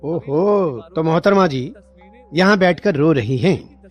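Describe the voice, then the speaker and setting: A man's voice: fairly high pitch, expressive, slow, pitched above the performer's own voice. A man voicing a protective, reassuring young Indian husband and son in a cartoon story. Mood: teasing. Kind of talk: Hindi animated moral story dialogue. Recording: music bed behind the voice.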